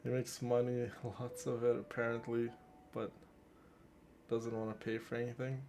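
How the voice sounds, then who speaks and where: A man livestreaming a game with casual, chatty commentary, often halting and repeating words. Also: mid-range voice, completely flat delivery, a little mellow, a little hiss or hum.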